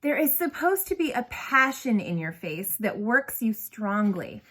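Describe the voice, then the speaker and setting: A woman's voice: a little high, big pitch swings, unhurried, fairly bright tone, full character voice. Woman acting a stern, exacting schoolteacher. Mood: exacting, dramatic. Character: stern, exacting older female schoolteacher coaching drama.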